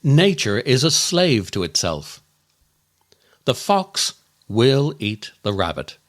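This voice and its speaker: A man delivering a scripted comic monologue as a storyteller, with dramatic, deliberate and darkly comic delivery. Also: medium pitch, very animated. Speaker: comedian and podcast host.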